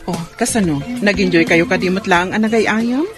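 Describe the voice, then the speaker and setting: A woman's voice: medium pitch, moderate pace, over music, close to an everyday voice. A woman with a Filipino accent playing a hurt, protective wife and mother in a radio drama. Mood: gentle. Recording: clear background ambient noise.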